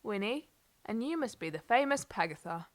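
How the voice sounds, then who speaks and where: A woman's voice: mid-range voice, big pitch swings, normal speed, close to an everyday voice. A woman playing a friendly, polite young nurse in an audio drama, courteous and cheerful.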